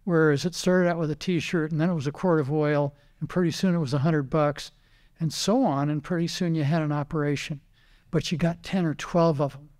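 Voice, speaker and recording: Older man, American accent, fairly high voice, casual interview reminiscing, anecdotal storyteller, quotes old conversations. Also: steady tone, fairly quick, a little mellow. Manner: anecdotal storytelling, acting out remembered dialogue. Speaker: retired racing driver and team owner, interview guest.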